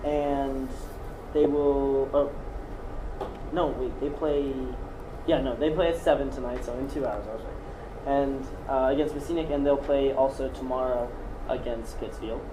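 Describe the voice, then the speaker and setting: A man's voice: medium pitch, fairly even intonation, a little slow. A teenage male student representative giving a sports report at a school board meeting, correcting himself as he goes. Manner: corrects himself mid-sentence. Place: meeting room. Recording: echoey room, noise.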